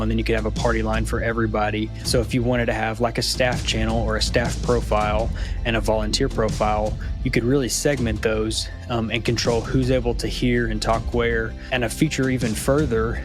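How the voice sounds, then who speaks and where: A man giving a casual testimonial, explaining things in a matter-of-fact way. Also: on the low side. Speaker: church communications director.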